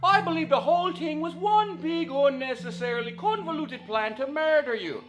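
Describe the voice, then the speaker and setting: Man playing a high-voiced, glib police sergeant. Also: moderate pace, fairly bright tone. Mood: breezy, callous. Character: glib, condescending Irish police sergeant, comically callous. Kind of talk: 1940s radio comedy acting. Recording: music behind the voice.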